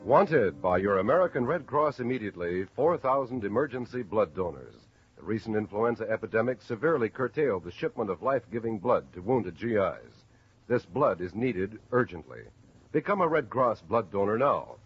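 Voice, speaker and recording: A man reading scripted 1950s network radio announcements in an earnest, measured and formal way. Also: fairly low pitch, animated, slightly rough. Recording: dull old radio recording with music.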